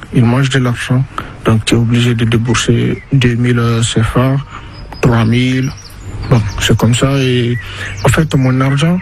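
A young man giving spontaneous testimony, matter-of-fact and circling back over his words, in a field recording. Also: medium pitch. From Guinea. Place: migrant camp, under tarpaulins. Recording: field recording with background noise.

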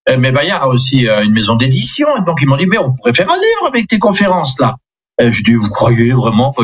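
Older priest guy, alsatian native, husky, keeps apologizing and wandering off, sounds muffled. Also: fairly high pitch, a bit bright. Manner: rambling, self-deprecating, fond of superlatives. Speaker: priest, interview guest. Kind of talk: conversational interview answers.

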